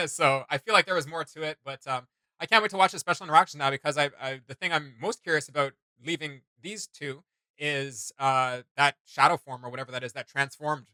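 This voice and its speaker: A man's voice: fairly high pitch, fairly quick, bright, forceful tone, dry recording. A man hosting a casual reaction commentary, speaking informally with slang and often searching for his words. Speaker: reaction video host.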